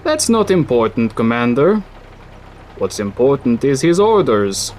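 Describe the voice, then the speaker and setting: An actor playing a courteous, apologetic city coordinator who turns quietly menacing, in an audio drama. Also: male voice, medium pitch, big pitch swings, measured pace, slight background noise. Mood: politely menacing. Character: polite but sinister city coordinator man. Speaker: coordinator of a recycling facility.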